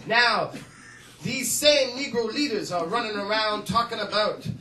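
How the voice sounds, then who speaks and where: Man putting on a high, forceful fiery political orator. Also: a little slow. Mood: emphatic, indignant. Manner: emphatic, repetitive rhetorical delivery. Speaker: comedian performing a monologue. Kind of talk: mock political oratory from a film. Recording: roomy, distant mic, faint noise.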